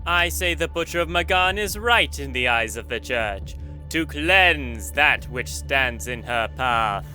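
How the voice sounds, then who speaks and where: A man's voice: mid-range voice, expressive, unhurried, bright, forceful tone, faint music behind, low-quality recording. A man playing a pious, menacing vampire priest in a fantasy audio drama, pompous and sermonizing. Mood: righteous menace.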